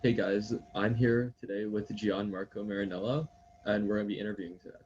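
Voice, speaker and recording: Quick-talking male interviewer. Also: fairly low pitch, steady tone, a little mellow, muffled recording, slight background noise. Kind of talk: casual interview.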